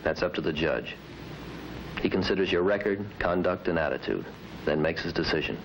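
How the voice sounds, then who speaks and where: An actor playing a firm, patient law officer who questions methodically in an old training film. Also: male voice, sits low, fairly quick. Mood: firm, reasoning. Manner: methodical, probing. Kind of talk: mid-century training film acting. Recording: noisy old film soundtrack.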